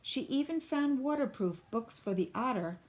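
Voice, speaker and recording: A woman reading a children's picture book aloud in an expressive, deliberate storybook style. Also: sits high. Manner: deliberate, clearly phrased storybook delivery.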